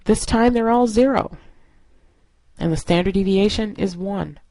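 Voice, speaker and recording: A woman's voice: mid-range voice, a little slow, mellow, no room sound, low-quality recording. A woman instructor narrating a lesson in an explanatory, step-by-step way. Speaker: statistics instructor.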